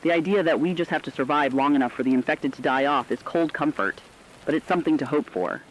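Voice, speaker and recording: A man's voice: a little high, fast, a little hiss or hum, lightly acted. A man playing a solemn, earnest radio commentator, giving rhetorical scripted commentary during an emergency broadcast. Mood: solemn, hopeful. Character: solemn, humane male radio commentator in an apocalypse. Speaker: radio co-host. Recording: dull, lo-fi radio sound.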